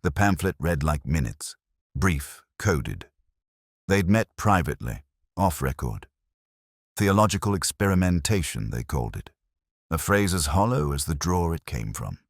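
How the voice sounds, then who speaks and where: Brooding male detective , acted by a man: extremely low voice, solemn scripted mystery narration, deliberate phrasing, very dry sound. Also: very animated, no echo, full character voice. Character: brooding, measured male detective inspector narrating his case. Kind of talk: first-person scripted mystery narration, audiobook-like.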